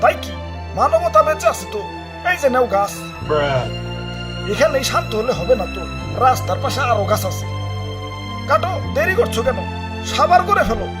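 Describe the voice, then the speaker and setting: A man with a Bangladeshi accent voicing a boastful, flirtatious, hot-tempered sun in a comic cartoon, swaggering and sarcastic. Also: very high-pitched. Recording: music behind the voice.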